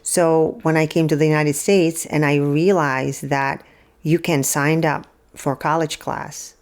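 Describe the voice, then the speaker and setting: Guest: middle-aged woman, English, low voice, calm, unhurried storytelling. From Czech Republic. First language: Czech. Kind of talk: podcast interview.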